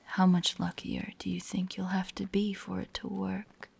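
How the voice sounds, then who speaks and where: A woman playing a predatory, teasing spider-woman monster in a horror audio roleplay, with a breathy, whispery voice and a taunting, menacing manner. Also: mid-range voice, monotone, darkish tone. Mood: playful menace. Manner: slow, taunting, playfully sinister.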